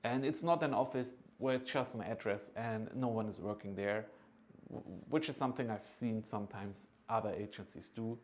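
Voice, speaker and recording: A man in his thirties giving an informal, earnest promotional pitch as a consultant, persuasive and often checking in with the listener. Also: moderate pace, a little mellow, a little hiss or hum. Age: 30s. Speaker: agency founder and study consultant.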